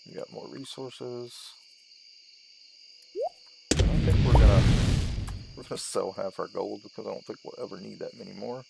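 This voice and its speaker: A man's voice: fairly low pitch, normal speed, vocal fry, pauses often, heavy background noise. A man giving casual let's-play commentary, talking himself through each step in short, trailing phrases. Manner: narrates own steps aloud in short, trailing phrases. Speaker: gaming video host.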